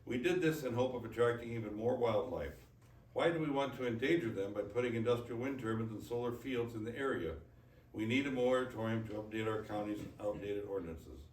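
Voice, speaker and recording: A man reads written statements aloud at a public hearing. His voice sits at a middle pitch. The room is echoey and he sounds away from the mic, with faint noise behind. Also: fairly quick, distant mic. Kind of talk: reading prepared statements aloud at a public meeting.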